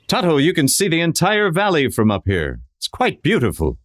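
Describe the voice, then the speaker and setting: A man's voice: a little high, huge pitch swings, normal speed, no room sound, studio quality. A man playing an earnest, commanding American masked hero in a radio western. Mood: observant, resolute. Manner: earnest, authoritative.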